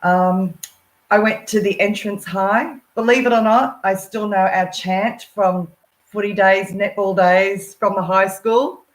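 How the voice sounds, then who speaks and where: A woman's voice: mid-range voice, steady tone, unhurried, echoey room, poor audio. A chatty, enthusiastic middle-aged Australian woman, a volunteer guest on a casual live stream who laughs often.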